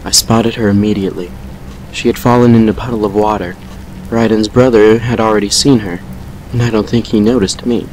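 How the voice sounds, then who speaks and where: A man playing a brooding vampire who recounts a painful past with measured regret in an audio drama. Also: lowish voice, fairly even intonation, a little mellow, a little hiss or hum, close to an everyday voice, low-quality recording. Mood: grim, regretful. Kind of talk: fan fiction audio drama monologue.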